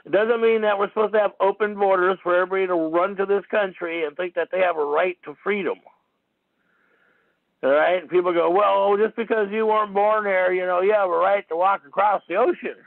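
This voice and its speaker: Rambling gravelly man on a phone line. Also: high voice, steady tone, a little fast, dry recording. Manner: rambling, repetitive, argumentative. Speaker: talk show host. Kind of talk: rambling call-in radio monologue. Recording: tinny phone-line call-in audio.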